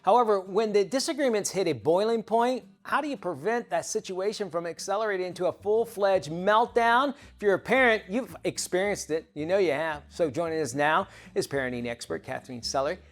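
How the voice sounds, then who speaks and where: A middle-aged man hosting a TV talk show and interviewing a guest in a chatty, animated way that often breaks into laughter. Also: high-pitched, fairly quick, hardly any pauses.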